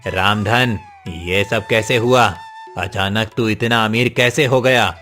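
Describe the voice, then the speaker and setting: Man playing a stern, slow-spoken authority figure. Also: on the low side, sharp tone, soft background music. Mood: suspicious. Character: stern male palace guard and suspicious moneylender. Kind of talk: Hindi moral-story cartoon dialogue.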